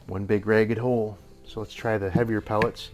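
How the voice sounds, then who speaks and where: An older man with a slightly hoarse voice, rambling through a casual airgun review in a workshop and chuckling often. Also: lowish voice, little pitch movement, moderate pace, music in the background. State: a little hoarse. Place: indoor workshop with tool wall. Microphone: camera at a few feet.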